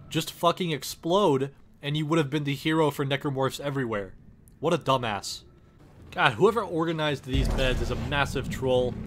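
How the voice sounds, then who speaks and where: A man's voice: medium pitch, noisy background. A man doing a sarcastic, profane comedic dub as the lead of a game parody. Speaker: host of abridged game parody, voicing the lead. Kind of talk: comedic character dub over gameplay.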